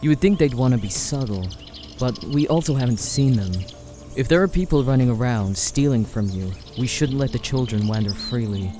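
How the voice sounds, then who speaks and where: A soft-edged, mellow voice for a careful, practical father in a fantasy audio drama, acted by a man. He speaks in a measured, questioning way, with light music underneath. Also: mid-range voice, expressive, close to an everyday voice. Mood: concerned. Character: cautious, practical husband and father in a fantasy forest.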